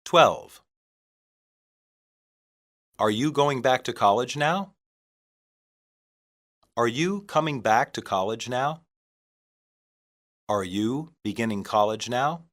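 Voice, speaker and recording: A man reading scripted English practice phrases for learners, deliberately and in separated phrases. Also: medium pitch, animated, fairly bright tone. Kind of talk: scripted language-lesson reading.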